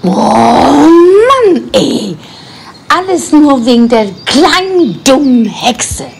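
Villain witch, played by a woman: whiny, snappish, exasperated, slow delivery with big swings. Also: high-pitched, big pitch swings, creaky, slightly rough, full character voice. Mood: bitter. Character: bitter exiled villain witch, grumpy and scheming. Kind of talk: audio drama acting.

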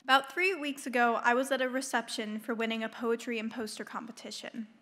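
A woman's voice: on the high side, steady tone, brisk, fairly bright tone. A young woman delivering a prepared speech into a podium microphone in an auditorium. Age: teens or young adult. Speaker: student public speaker at a school board meeting. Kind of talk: prepared speech read aloud. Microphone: gooseneck podium microphone.

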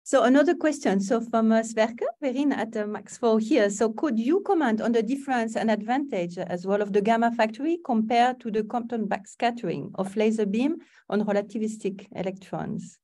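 A woman's voice: fairly high pitch, moderate pace, few pauses, dark tone. Woman moderator on a video call, polite, reading out questions, sounds a bit far from the mic. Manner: polite, encouraging. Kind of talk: reading audience questions in a webinar.

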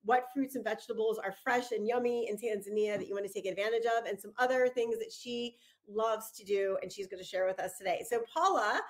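A woman's voice: mid-range voice, fairly quick, few pauses. A woman hosting a podcast, bubbly and enthusiastic, laughing easily as she introduces and chats with her guest. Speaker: podcast host. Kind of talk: casual podcast interview.

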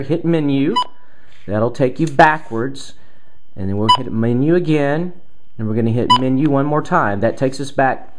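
Male tutorial presenter, thirties, medium pitch, orderly step-by-step delivery aimed straight at the viewer. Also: background noise. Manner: clear, methodical, direct address to viewer. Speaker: television meteorologist presenting a tutorial. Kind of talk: step-by-step instructional explanation.

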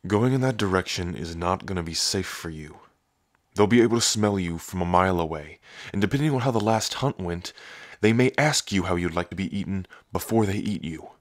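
A man voicing a gruff, protective, hot-tempered werewolf lover in an intimate ASMR roleplay, with a brooding, guarded manner. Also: sits low, animated, brisk, dry recording, studio quality. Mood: reluctant, warning. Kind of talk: ASMR boyfriend roleplay audio drama.

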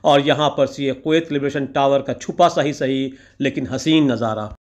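A man's voice: medium pitch, steady tone, normal speed, darkish tone, muffled recording. A man narrating his weekend outing in a voice-over, with flowery phrasing, recited couplets and words of praise. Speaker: vlogger narrating a food outing.

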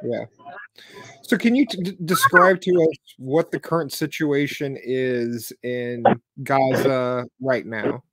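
A man's voice: medium pitch, slow pace, fairly bright tone, no echo, rough recording. A young man hosting an interview from a room at home over a video call, eager and full of ums and restarts. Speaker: host, interviewer. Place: home room with bookshelves, indoors. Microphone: webcam on a video call.

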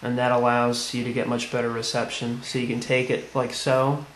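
Man, even delivery, casual tutorial, plain step-by-step explaining, roomy sound with light background noise. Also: medium pitch, moderate pace. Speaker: tutorial presenter. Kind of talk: casual hands-on how-to demonstration. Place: indoors at a tiled tabletop. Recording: echoey room, background noise.

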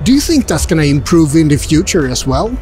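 A man in his forties with a fairly high, very lively voice, chatting upbeat with exhibitors. He talks into a handheld furry mic held close in a busy indoor expo hall. Faint music plays underneath. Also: fairly quick. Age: 40s. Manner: upbeat, chatty, asks visitors questions. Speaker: travel vlogger. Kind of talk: casual vlog with voice-over narration. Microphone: handheld furry mic close to the face.

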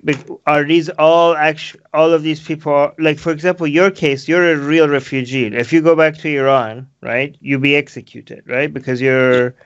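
A man's voice: on the high side, steady tone, moderate pace, hardly any pauses, highs cut off. A man in his thirties interviewing a guest over a video call, asking probing, questioning follow-ups full of fillers. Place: small room, plain wall.

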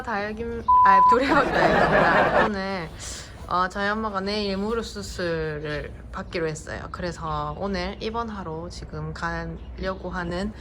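Gentle, unhurried vlogger woman. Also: medium pitch. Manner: gentle, unhurried, with small hesitations. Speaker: succulent vlogger. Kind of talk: casual vlog narration. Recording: noisy home recording.

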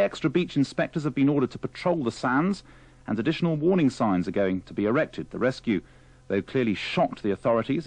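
A man's voice: on the low side, darkish tone, low-quality recording. A British man presenting live radio news in a formal manner, apologetic and hesitant when he has to ad-lib. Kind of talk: live news presenting, ad-libbing through failed links.

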